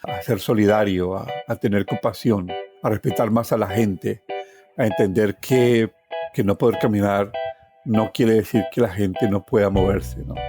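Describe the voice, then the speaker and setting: A man's voice: on the low side, fairly even intonation, a little slow. A middle-aged man with a Colombian accent tells his life story reflectively, repeating words for emphasis and ending phrases with little tag questions. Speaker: journalist telling his life story as podcast guest. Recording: soft plucked guitar music behind.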